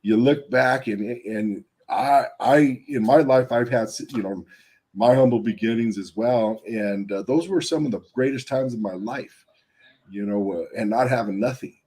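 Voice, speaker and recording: A man hosting an interview, affable and agreeable, with drawn-out fillers as he searches for words. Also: mid-range voice, warm tone. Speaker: interview host, gym owner. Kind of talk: casual interview chat.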